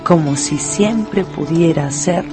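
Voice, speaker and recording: A woman's voice: sits low, takes it slowly. Peruvian woman narrating a story over music. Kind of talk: scripted dramatic storytelling narration for radio. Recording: music and schoolyard crowd behind.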